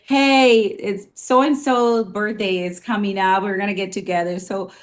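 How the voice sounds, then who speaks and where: A woman's voice: mid-range voice, fairly even intonation, unhurried. A woman with a Spanish accent, a former nanny, telling stories in a casual interview with many fillers. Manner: warm storytelling, many fillers.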